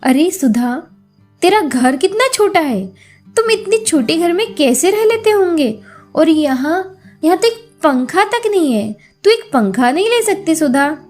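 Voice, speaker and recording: A woman's voice: very high-pitched, big pitch swings, measured pace, a little mellow, soft background music, voice pushed up, heavily acted. A woman voicing a haughty, fussy rich employer in a moral story, asking disdainful questions.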